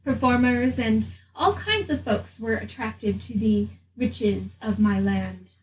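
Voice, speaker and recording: A woman with a fairly high voice role-plays casually as a tabletop game player. She is a little distant from the mic, with faint noise behind her. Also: slow, darkish tone. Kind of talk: casual in-character role-play.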